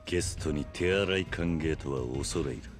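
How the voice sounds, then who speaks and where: An actor playing a stoic, tough middle-aged yakuza man in a video game, speaking in a terse, gruff, blunt way. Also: rumbling low, normal speed, over music. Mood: stern, unimpressed. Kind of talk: video game cutscene acting.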